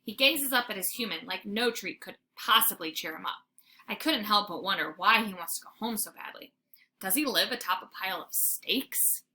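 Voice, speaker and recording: A woman's voice: medium pitch, bright, forceful tone, a little hiss or hum. A woman reading aloud as a teacher would, narrating a children's story expressively with dramatic emphasis.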